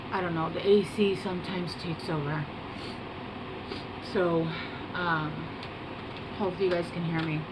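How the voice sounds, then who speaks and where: A woman talks her viewers through a tarot reading in a soft, unhurried voice of middling pitch. She wanders off track, pauses to search for words and fills the gaps with little hesitations. A steady air-conditioner hum runs under her voice in a room at home. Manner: soft-spoken, meandering, hesitant with many fillers. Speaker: tarot reader. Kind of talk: casual rambling tarot reading to camera.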